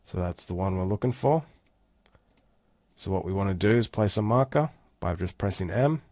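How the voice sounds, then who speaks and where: A man giving a casual, step-by-step software walkthrough as an instructor. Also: deep voice, lively intonation, moderate pace, no echo. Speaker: tutorial instructor. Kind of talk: screen-recorded software tutorial, casual walkthrough.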